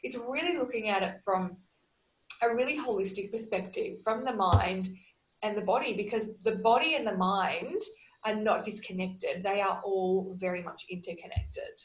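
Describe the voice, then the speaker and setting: A woman in her thirties, a holistic wellness practitioner, telling her story in earnest, steady lists on a video call from a small room at home. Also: mid-range voice, normal speed, echoey room, low-quality recording. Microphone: video call, laptop camera.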